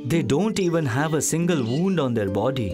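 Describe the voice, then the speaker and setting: An actor playing a caring, thoughtful eldest warrior prince, speaking earnestly in an animated mythological story. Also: male voice, a little high, natural voice. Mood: puzzled. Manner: earnest, deliberate, questioning. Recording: music behind.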